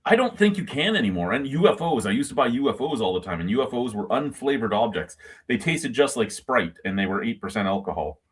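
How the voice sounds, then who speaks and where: A man's voice: mid-range voice, very animated, fast, husky. A man in his forties chatting casually on a video call, telling long rambling stories and swearing freely. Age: 40s. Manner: rambling anecdotal storytelling with swearing. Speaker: podcast co-host. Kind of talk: casual chat.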